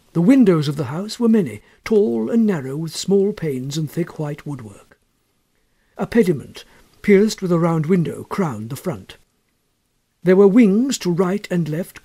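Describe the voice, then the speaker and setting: A man reading a literary story as an audiobook narrator, measured and expressive in his storytelling. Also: fairly high pitch, breathy edge. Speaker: audiobook reader.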